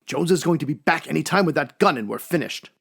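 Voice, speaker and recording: A man playing an earnest, exasperated costumed crime-fighter in a superhero radio drama, with a gravelly voice. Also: on the high side, lively intonation, quick pace, a bit bright, exaggerated voice. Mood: weary, urgent. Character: earnest, weary male costumed crime-fighter, chained captive.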